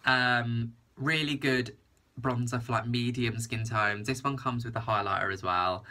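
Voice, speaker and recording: A man's voice: medium pitch, steady tone, a little slow, bright, forceful tone. A young British man in his twenties, gushing through a casual makeup review, his nose blocked up from hay fever. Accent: British English. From England. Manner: gushing, enthusiastic, repeats "very, very". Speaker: beauty vlogger. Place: small indoor room with a printed backdrop.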